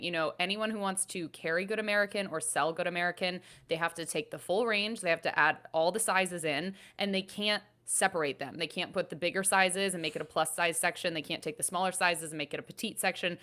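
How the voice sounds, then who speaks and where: Slightly low-voiced woman explaining earnestly. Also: brisk, hardly any pauses, sharp tone. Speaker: panelist on an entertainment recap show. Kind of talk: enthusiastic discussion.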